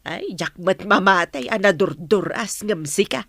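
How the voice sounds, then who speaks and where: A woman's voice: medium pitch, expressive, a little slow, a bit bright, no echo. An actress in an Ilocano radio drama playing a nagging, reproachful older mother.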